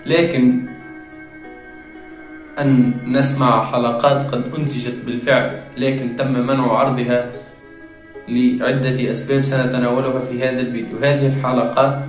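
A young man presenting a scripted explainer to viewers in formal, measured phrasing. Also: mid-range voice, level tone, faint music behind. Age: young adult. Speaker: video presenter. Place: small tiled room, indoors. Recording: echoey room sound.

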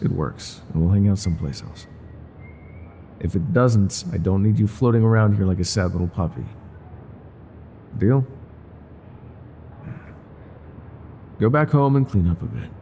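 A man playing a world-weary, gruff but secretly tender older ex-mafia bartender in an intimate, confiding audio roleplay. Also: lowish voice, a little mellow, slight background noise. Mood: resigned, wryly fond. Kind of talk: intimate ASMR roleplay monologue.

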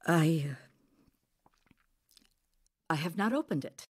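A woman's voice: sits low, animated, takes it slowly, breathy edge, dry recording, heavily acted. An actress playing a guarded, proud village healer in a radio drama, curt and evasive in her stern manner. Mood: guarded, quiet.

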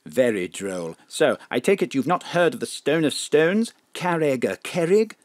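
An actor playing a sly spy chief disguised as a boozy down-and-out in an audio drama, confiding, with posh old-school phrasing. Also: male voice, on the high side, very animated, a little slow. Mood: confiding, droll.

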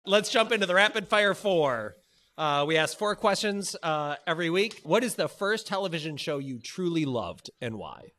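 Bright woman, noisy background. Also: lowish voice, expressive, normal speed. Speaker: podcast co-host. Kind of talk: casual, joking podcast chat. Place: home kitchen indoors. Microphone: large podcast microphone, close.

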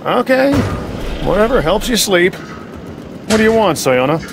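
A wary, sardonic woman park manager from a video game. Her voice is conversational and sits at a middling female pitch, with faint noise behind it. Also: sing-song, normal speed, vocal fry.

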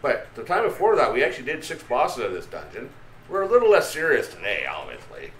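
A middle-aged man leads his friends through a game raid on stream. His voice swings widely in pitch as he jokes around. The sound is a bit roomy, with faint noise behind him. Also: fast. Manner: animated, playful, laughs easily. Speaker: streamer hosting a group raid. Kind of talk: casual gaming chat with friends. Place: home streaming room.

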